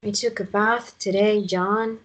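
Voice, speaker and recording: A woman voicing a frail, ailing wife in a drama script read, gently pleading. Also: mid-range voice, fairly even intonation, measured pace, background noise, close to an everyday voice. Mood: weak, wistful.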